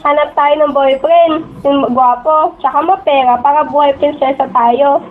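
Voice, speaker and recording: A woman's voice: sits high, moderate pace, lightly acted. A young woman acting as a boy-crazy, envious teenage schoolgirl in a radio drama. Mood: chatty. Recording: tinny phone-like audio.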